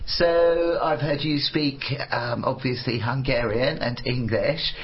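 A man's voice: medium pitch, slow, lo-fi sound. A man hosting a studio show, chatting casually and asking curious questions. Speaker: podcast host. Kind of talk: casual chat.

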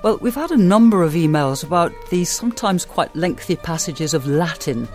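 A man hosting a book club program, introducing questions and readings in a brisk presenter's way. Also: a little high, lively intonation, a little mellow, faint music behind. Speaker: radio book club host. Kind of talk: presenting and reading listener emails.

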